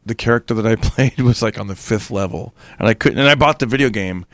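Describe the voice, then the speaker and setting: A man with a fairly low voice chats as a guest actor. He stumbles, repeats words and talks loosely. The sound is close and dry with no room around it. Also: dry recording. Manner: halting, repeats words, casual. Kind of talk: casual chat.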